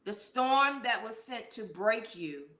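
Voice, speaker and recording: A woman's voice: a little high, animated, takes it slowly, bright, forceful tone. Woman host in a roomy, echoing space. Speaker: show host. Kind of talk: conversational interviewing. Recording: roomy echo with faint background noise.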